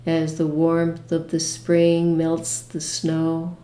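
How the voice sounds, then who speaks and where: A woman leading a guided meditation with imagery, speaking in a gentle, soothing manner with long pauses. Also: low-pitched, monotone, reverberant.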